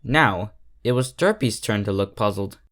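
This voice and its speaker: A man's voice: lowish voice, expressive, moderate pace, a bit bright. A man reading a story aloud as scripted narration. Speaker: fan fiction reader.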